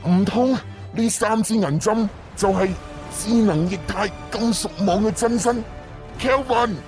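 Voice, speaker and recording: Man acting a gloating, high-pitched sci-fi villain. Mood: panicked. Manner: mocking, theatrical, drawn-out menace. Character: gloating, taunting male villain with sci-fi gadgets. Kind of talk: Cantonese audio drama acting. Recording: music behind the voice.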